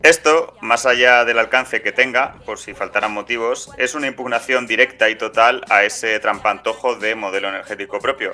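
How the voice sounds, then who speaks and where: A man's voice: medium pitch, moderate pace, few pauses, pushed and bright, faint music behind. A man hosting a political podcast, speaking in a sardonic, rhetorical way. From Aragon, Spain. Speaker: podcast host. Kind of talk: political podcast editorial and co-host banter.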